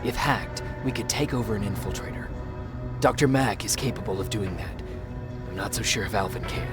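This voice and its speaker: An actor playing a wary, terse, battle-worn resistance soldier in a video game. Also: male voice, lowish voice, a little fast, over loud music, close to an everyday voice. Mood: low, guarded. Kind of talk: dramatic video game acting.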